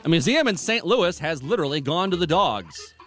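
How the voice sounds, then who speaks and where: A man co-hosting a radio show, reading odd news stories and adding dry, deadpan asides. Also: a little high, a little fast, over music. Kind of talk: reading news items with banter.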